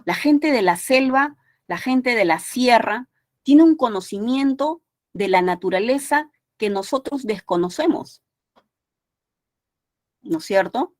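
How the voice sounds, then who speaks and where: A woman's voice: fairly high pitch, animated, slow pace, dry recording, studio quality. A woman from Peru with a Peruvian Spanish accent, giving an exam prep language lecture in a conversational way, with many tag questions and restarts. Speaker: language teacher.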